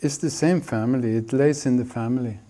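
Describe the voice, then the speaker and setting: Calm man teaching in short phrases. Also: medium pitch. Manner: gentle, unhurried, speaking in short phrases for an interpreter. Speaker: spiritual teacher. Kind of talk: slow spoken teaching.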